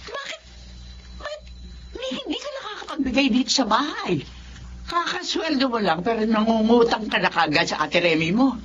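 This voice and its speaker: Animated older Filipino mother, scolding. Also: a little high, moderate pace. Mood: exasperated scolding. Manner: scolding, questioning. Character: nagging, long-suffering older mother. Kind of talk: emotional television drama acting. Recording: dull audio with faint background noise.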